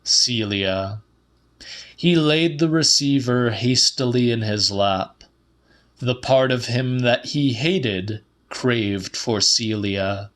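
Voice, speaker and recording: A man reads literary fiction as an audiobook narrator. His pace is slow and deliberate, and his pitch sits in the middle. The delivery is measured, with a slightly dramatic edge. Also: fairly bright tone.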